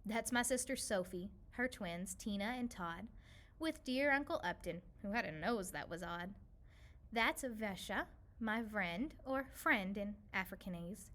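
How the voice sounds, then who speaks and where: A woman voicing a kindly, lonely old woman in a children's storybook, gentle and soothing as she reminisces. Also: medium pitch, lively intonation, measured pace. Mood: gentle, nostalgic. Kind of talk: children's storybook read-aloud.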